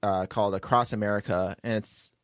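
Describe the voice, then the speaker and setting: A man's voice: on the low side, steady tone, a little fast, smooth, dry recording, clean studio sound. A man hosting a podcast, chatting casually and explaining things in a rambling way as he plugs shows. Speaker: podcast host and producer.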